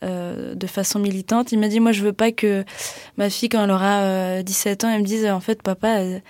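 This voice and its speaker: Flat-voiced woman radio host. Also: mid-range voice, brisk, no echo, studio quality. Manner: soft-spoken, hesitant, many fillers. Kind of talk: casual radio interview and sign-off. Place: radio studio.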